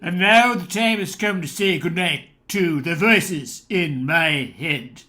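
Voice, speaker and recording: A man playing a solemn, theatrical host who narrates a radio drama anthology in a measured way. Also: a little high, over music.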